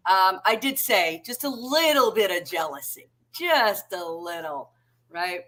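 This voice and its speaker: A woman's voice: medium pitch, big pitch swings, unhurried. A woman with a Massachusetts accent co-hosting a casual chat over a video call, talking in a chatty way and often asking "right?". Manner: chatty, warm, seeks agreement with frequent "right?". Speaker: co-host.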